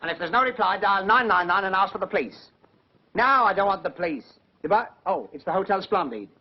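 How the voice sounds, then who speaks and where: An actor playing a cheeky, joking male partner in an escort agency, full of quick wisecracks and tongue-twisted banter, in a 1940s British film comedy. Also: sits high, expressive. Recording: dull 1940s film soundtrack.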